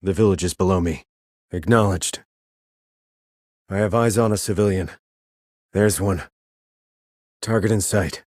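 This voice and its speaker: An actor playing a terse, cool-headed sniper operative in a video game, with clipped, controlled military delivery and a low husky voice. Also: male voice, measured pace, long pauses, darkish tone, no room sound, clean studio sound. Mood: focused, controlled. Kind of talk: military radio chatter, game dialogue.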